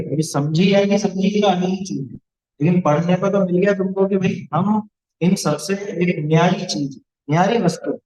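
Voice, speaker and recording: A man's voice: high-pitched, darkish tone, lo-fi sound. A man teaching a religious studies lesson to a class of children, explaining points and asking the class questions.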